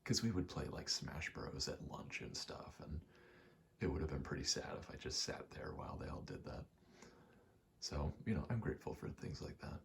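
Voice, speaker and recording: A man in his twenties doing a casual ASMR gaming ramble, soft-spoken and often whispering, in a halting, meandering way. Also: very deep voice, big pitch swings, creaky. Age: 20s. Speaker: ASMR gaming video host. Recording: faint noise, game button clicks. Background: controller clicking, faint background noise.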